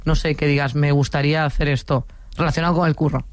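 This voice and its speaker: A woman's voice: deep voice, steady tone, quick pace, dry recording. Chatty woman interviewer, dry close sound. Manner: chatty, rambling questions, laughs easily. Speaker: interviewer, host. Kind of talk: casual interview chat.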